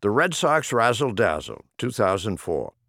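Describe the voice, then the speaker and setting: A man's voice: on the low side, wildly swooping pitch, a little slow, creaky, a bit bright, dry recording, studio quality. A man reading humorous rhyming poems as an audiobook narrator, with a theatrical, rhythmic delivery. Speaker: audiobook reader.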